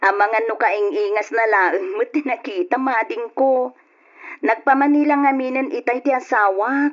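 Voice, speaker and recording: An actress with a Filipino Ilocano accent playing an anxious, dutiful, soft-spoken wife in an old-fashioned radio drama. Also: female voice, on the high side, normal speed, lightly acted. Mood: puzzled, uneasy. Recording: dull lo-fi radio drama recording.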